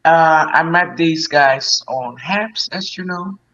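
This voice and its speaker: Slow-talking Dutch woman, lo-fi audio. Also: low-pitched, fairly bright tone. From Netherlands. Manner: laughs between short replies. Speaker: guest. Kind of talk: casual chat.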